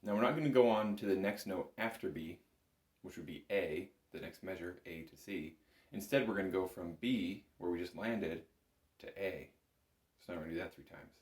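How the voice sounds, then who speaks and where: A man's voice: low voice, moderate pace, heavy background noise. A man giving a patient, careful step-by-step music lesson as an instructor, recorded in an echoey room. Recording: roomy, echoing room sound.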